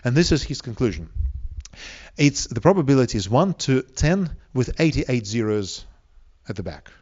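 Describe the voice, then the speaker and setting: A man from Siberia with a Russian accent preaches to an audience, speaking deliberately with rhetorical questions and emphatic pauses. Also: medium pitch, very animated, a little mellow, highs cut off. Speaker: preacher, evangelistic seminar speaker. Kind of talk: sermon to a live audience.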